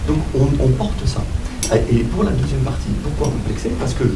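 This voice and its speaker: A man's voice: mid-range voice, sing-song, a little fast. A man from Algeria, debating insistently and arguing his point. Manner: insistent, argumentative. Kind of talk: heated debate. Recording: echoey room, far from mic, loud noise.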